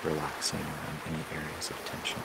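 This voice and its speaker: Very deep, gravelly man guiding meditation. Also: completely flat delivery. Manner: slow, soothing, measured delivery with long pauses. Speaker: meditation guide. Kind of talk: guided meditation narration. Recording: loud ambient water noise throughout. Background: flowing water and ocean ambience.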